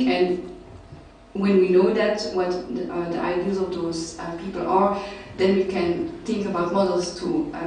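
A young woman gives a research talk from a panel table in a large lecture hall. She pauses often, fills gaps with "um" and restarts her sentences. Her voice sounds distant and heavily reverberant, with faint background noise. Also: on the low side, darkish tone. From Belgium. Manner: hesitant, many fillers and restarts. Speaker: researcher presenting at a summer school. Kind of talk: academic conference presentation. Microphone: table mic, recorded by a far camera. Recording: very echoey hall, voice far from the mic.

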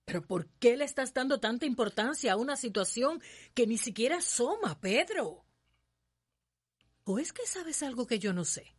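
A woman's voice: on the high side, expressive, moderate pace, breathy edge. An actress playing a timid, pleading middle-aged wife and mother in a radio drama, with a Cuban accent and an appeasing manner. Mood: pleading, hurt. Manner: appeasing, plaintive.

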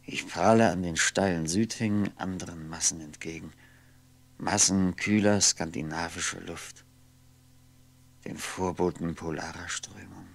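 Solitary brooding man, radio-play monologue, low gravelly voice, poetic and halting. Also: fairly even intonation, heavily acted. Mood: dreamy, intense. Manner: musing, halting, poetic, sometimes near whisper. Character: lonely, brooding man talking to himself.

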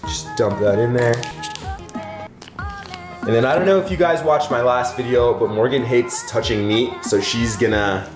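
A man's voice: medium pitch, normal speed. Upbeat young man in an echoey kitchen. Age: young adult. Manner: upbeat, chatty, addresses viewers directly. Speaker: fitness vlogger, host. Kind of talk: casual cooking demo to camera. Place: home kitchen indoors. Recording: roomy kitchen echo with background music.

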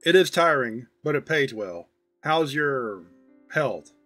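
A man's voice: medium pitch, animated, takes it slowly, fairly bright tone, dry recording, soft background music. A man voicing a concerned, hesitant adult grandson with an awkward, earnest, reserved manner in a story reading.

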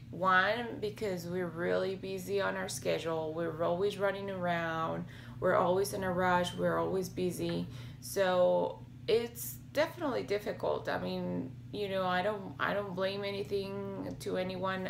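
A woman in her thirties talking to the camera in a casual home vlog, slightly hoarse and raspy, with many fillers and restarts. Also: on the low side, steady tone, measured pace, noisy background. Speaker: health vlogger. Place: small home office with bookshelves, indoors. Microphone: webcam or laptop camera at desk.

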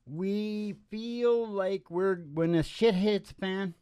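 Mellow middle-aged man, folksy rambling host. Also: sits high, smooth, no echo. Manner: playful, unhurried, joking, drifting off into asides. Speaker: host of a music and comedy show. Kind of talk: folksy rambling stage patter, storytelling. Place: small dim curtained stage room. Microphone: stand microphone. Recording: guitar playing behind the voice. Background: acoustic guitar.

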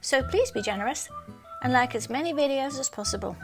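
A woman's voice: high voice, lively intonation, a little fast. A woman reading a scripted promotional voice-over as an announcer. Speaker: channel intro announcer. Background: music.

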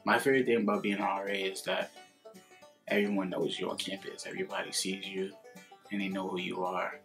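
A man's voice: deep voice, a little fast, vocal fry, music in the background. A young man from the Bronx, a college resident assistant, giving matter-of-fact interview answers. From the Bronx, New York. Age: young adult. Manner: matter-of-fact, a few restarts. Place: indoors before a plain grey backdrop.

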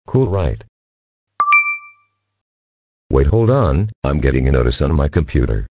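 A man with a husky voice playing an observer reporting a cosmic disaster in stilted amateur-drama dialogue. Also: very deep voice, very animated, a little slow, lo-fi sound, background noise, full character voice. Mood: casual.

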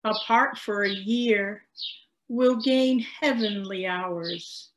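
A woman's voice: mid-range voice, slow, rough recording. An older woman reading a poem aloud indoors on a video call. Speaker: poet reading own poem. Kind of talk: poetry recitation. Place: room by a window, indoors.

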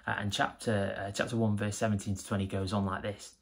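An earnest young man speaks in a low, husky voice with a gravelly edge. He talks informally and warmly enthusiastic to a close camera. The sound comes from a small, plain room. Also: little pitch movement, fairly quick. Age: young adult. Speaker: church member giving a devotional. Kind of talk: informal devotional talk to camera.

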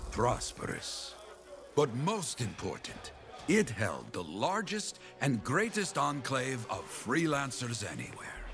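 An actor playing a grave, middle-aged veteran mercenary in a video game, narrating as a solemn, dramatic storyteller. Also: male voice, medium pitch, creaky, heavily acted. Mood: solemn reverence. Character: grave veteran male mercenary recounting a fallen city.

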